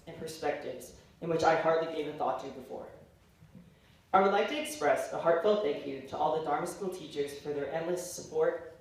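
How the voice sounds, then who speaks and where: Higher-voiced young man, formal speech, very echoey. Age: late teens, young adult. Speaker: graduating senior giving a speech. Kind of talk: formal, prepared farewell speech. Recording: very echoey hall, distant mic, faint noise.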